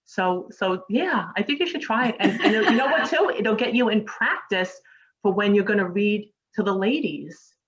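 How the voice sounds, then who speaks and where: A woman with a New York accent hosting a casual interview, chatty and encouraging and quick to laugh. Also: medium pitch, expressive. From United States. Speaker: host. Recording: muffled lo-fi audio.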